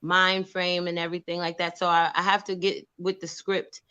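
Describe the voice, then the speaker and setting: A young woman, an actress guest in a casual interview, telling stories lively and acting out quoted voices. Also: fairly low pitch, normal speed, clear, resonant tone, lo-fi sound. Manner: animated storyteller, acts out quoted voices, laughs often.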